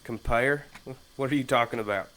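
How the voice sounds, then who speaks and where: Male actor as working-class sewer labourer, gruffly plain and blunt, average pitch, audio drama. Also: moderate pace, pushed and bright, natural voice. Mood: indignant, blunt.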